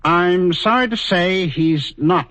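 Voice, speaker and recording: Fussy, higher-pitched radio-era bureaucrat. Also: male voice, slow, a bit bright, no room sound. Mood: officious, firm. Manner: pedantic, bureaucratic. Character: officious, fussy male clerk of a celestial bureau. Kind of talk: 1940s radio acting. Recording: dull vintage 1940s radio recording.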